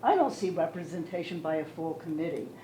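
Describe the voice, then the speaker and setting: A loud, insistent woman with a low, mellow voice presses her point during public comment. She is recorded in an echoey meeting room with light background noise. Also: animated, fairly quick. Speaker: resident giving public comment.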